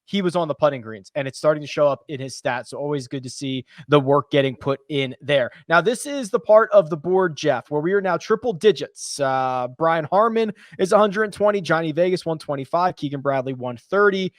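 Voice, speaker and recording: Brisk, analytical man hosting golf picks. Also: on the high side, lively intonation, no echo, clean studio sound. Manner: brisk and analytical, laughs easily. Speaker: golf betting show host. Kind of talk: casual betting chat.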